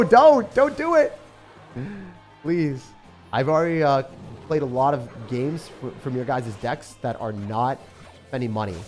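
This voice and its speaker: A young man talking at a middle pitch, live-streaming a game and chatting loosely with his viewers. He jokes around, reads their messages aloud and drops slang like bro. Faint music plays underneath. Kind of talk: live-stream gameplay chat.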